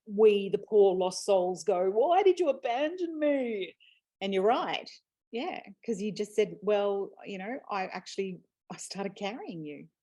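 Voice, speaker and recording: A woman's voice: mid-range voice, expressive, a little slow, dark tone. A woman hosting a casual, reflective conversation, talking earnestly and laughing easily. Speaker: host and author. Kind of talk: casual chat.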